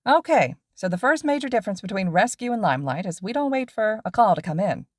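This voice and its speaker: A woman voicing a loud, cheerful male hero teacher with an exuberant, projecting manner. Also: mid-range voice, very animated, fast talker, dry recording, clean studio sound, pitched above the performer's own voice. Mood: upbeat.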